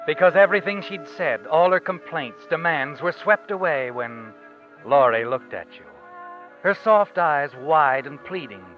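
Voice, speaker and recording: Knowing narrator, man, insinuating, dramatic, 1940s radio mystery, dull old recording, soft music underneath. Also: fairly high pitch, lively intonation, measured pace. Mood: sly, knowing. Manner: sly, taunting, dramatic.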